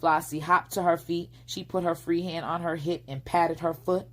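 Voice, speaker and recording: A woman's voice: on the low side, flat delivery, moderate pace. A woman reading a bedtime storybook aloud as its narrator. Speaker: storybook reader.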